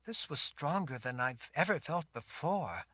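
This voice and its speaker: A man's voice: on the high side, animated, a little slow, bright, forceful tone, no room sound, voice pushed up, full character voice. A man voicing a tearful young sorceress girl. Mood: shaky. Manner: sobbing, pleading questions.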